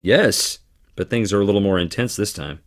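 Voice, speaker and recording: A man's voice: on the low side, moderate pace, natural voice. A man playing a wry, guarded young theatre worker in an adventure game, speaking conversationally. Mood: matter-of-fact. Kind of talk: scripted adventure game dialogue.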